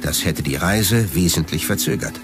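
A man's voice: sits low, normal speed, over music. A man narrating a documentary from a script.

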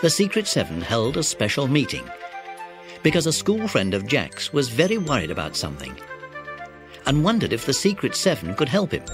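A man's voice: mid-range voice, huge pitch swings, normal speed, over music. A man reading scripted narration for a children's audiobook.

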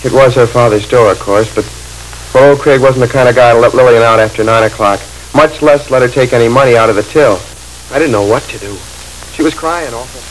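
A man's voice: medium pitch, brisk, lightly acted, rough recording. Young male clerk played by a man, 1940s radio drama: boyish, folksy, lovestruck narrator, faint hiss of old film. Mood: wistful, confiding. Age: young adult. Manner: folksy, hesitant, boyish phrasing. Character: earnest, lovestruck young drugstore clerk telling his story. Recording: hissy old film soundtrack.

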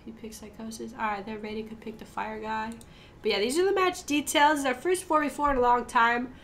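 A woman's voice: on the high side, fairly bright tone. Young woman streamer with a stuffy nose. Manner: chatty, swears casually. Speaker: gaming streamer. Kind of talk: casual gameplay commentary with team callouts.

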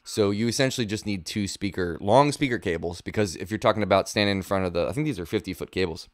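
A man's voice: lowish voice, fast talker, no room sound. A man in his thirties giving a casual, informal guitar gear demo, thinking aloud as he explains, close on a studio mic. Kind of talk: casual instructional chat. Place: small home studio room. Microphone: close studio mic on boom arm.

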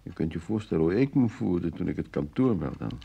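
A man's voice: sits low, very animated, fairly quick, creaky, husky, dull audio, exaggerated voice. An actor in a radio play playing a nervous, evasive ordinary man, hesitant and defensive. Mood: subdued, evasive.

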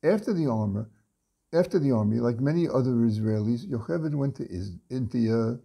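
An older man reading a story aloud from his home study into a webcam, unhurried, with frequent pauses and restarts. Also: medium pitch, animated, creaky, warm tone, husky. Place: home study lined with bookshelves.

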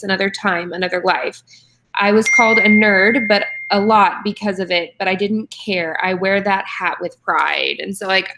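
A woman's voice: mid-range voice, sharp tone. A young woman co-hosting a book club over a video call from home, chatty, with frequent ums and restarts. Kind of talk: casual video call chat. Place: home room with posters and bookshelf. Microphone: webcam on video call.

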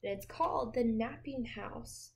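Female storytime teacher, twenties, high-pitched voice, unhurried gentle delivery for little kids. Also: vocal fry, a little hiss or hum. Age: 20s. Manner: slow, gentle, expressive, addressing young listeners with questions. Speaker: teacher reading storytime to children. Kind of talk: read-aloud picture book storytelling for young children. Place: small home room with windows, indoors.

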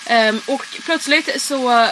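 A woman's voice: sits high, fairly bright tone, low-quality recording. A woman, chatting casually and informally to camera as a vlogger. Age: 20s. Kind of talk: casual chatty road trip vlog. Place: in and around a camper van and car. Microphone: handheld vlog camera. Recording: clear background noise, camera audio.

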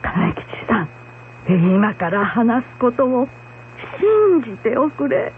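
A woman playing a frightened blind old village woman in a folktale radio drama, pleading in a halting, urgent way. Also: on the high side, big pitch swings. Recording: muffled lo-fi with hum and noise.

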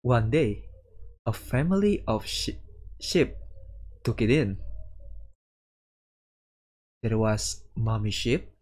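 Husky, slow-paced man reading aloud. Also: medium pitch, expressive, a little mellow. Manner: dramatic pauses, slow storybook-like reading broken by reactions. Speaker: VTuber streamer reading a visual novel aloud. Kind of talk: reading aloud with reactions in a let's play.

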